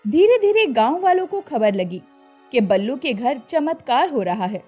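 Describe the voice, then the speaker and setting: A woman narrating a cartoon story in a lively, expressive storytelling manner. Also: sits high, normal speed, slightly rough, soft background music.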